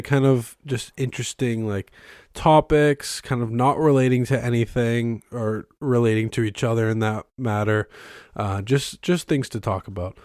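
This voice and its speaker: A young man hosting a casual solo podcast from his bedroom, rambling with many ums and restarts, his voice hoarse and congested from being sick. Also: mid-range voice, a little mellow, no room sound, clean studio sound. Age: young adult. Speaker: podcast host. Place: bedroom used as a studio. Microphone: close microphone, filmed on camera.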